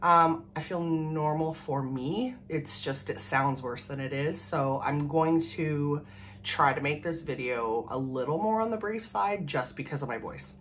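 A woman in her thirties from Canada, hoarse from a cold, chatting casually and rambling to the camera at home. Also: sits low, a little hiss or hum. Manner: rambling, chatty, laughs often. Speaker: vlogger. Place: small room at home, indoors. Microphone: camera in front at desk distance.

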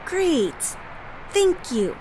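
Young twin sister, audio drama: high, slow-paced, awkward, nervous adventurer. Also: female voice, very animated, noisy background, heavily acted. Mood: nervous, curious. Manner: hesitant, awkward.

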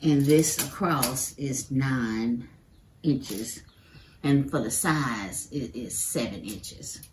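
A woman's voice: rumbling low, drawn-out words, a little mellow, a little hiss or hum. A middle-aged woman talking through a woodworking project step by step in her home workshop, chatty and casual, often saying "y'all". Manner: chatty, explains each step, says "y'all". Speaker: DIY woodworking creator. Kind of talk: casual how-to narration while building. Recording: echoey workshop room.

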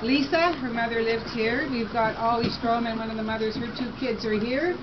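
A woman's voice: fairly high pitch, steady tone, a little fast. An older woman guiding a walking tour outdoors, introducing people to a group without a microphone. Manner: direct, speaks without a microphone. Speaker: tour guide and former resident. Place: outdoors on a city street. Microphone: phone camera close by, no microphone. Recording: dull phone audio with street noise.

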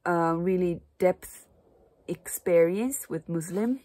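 A woman in her early thirties with a Japanese accent, talking casually to camera in halting non-native English as she searches for words. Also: on the low side, mellow, no room sound. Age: early 30s. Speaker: vlogger, Japanese language teacher. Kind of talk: casual unscripted talk to camera. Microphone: phone or camera at arm's length.

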